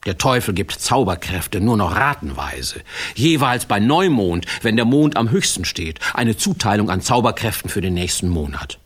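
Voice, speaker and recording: An actor playing a wry, folksy fairy-tale narrator in a radio play, theatrical and conspiratorial. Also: male voice, fairly low pitch, very animated, normal speed, slightly rough. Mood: wry, chatty.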